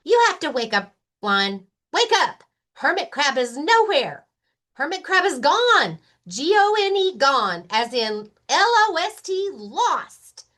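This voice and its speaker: Excitable young rabbit performed by a woman, high and swooping, eager, imaginative, for children's storybook. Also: takes it slowly, full character voice. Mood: alarmed. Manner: eager exclamations and anxious questions.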